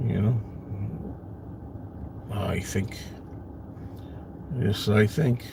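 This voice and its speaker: A man's voice: on the low side, extremely slow, vocal fry, noisy background. A middle-aged man with a Brooklyn accent, chatting casually from his car and answering viewers in a rambling, self-deprecating way. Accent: New York, Brooklyn. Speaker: live stream host. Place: parked car.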